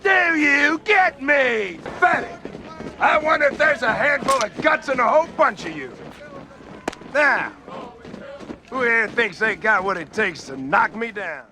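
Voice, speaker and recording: An actor playing a harsh, bullying military drill instructor, yelling in a strained, confrontational bark. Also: male voice, very high voice, lively intonation, unhurried, background noise, full character voice. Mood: contemptuous, aggressive. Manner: barking, confrontational.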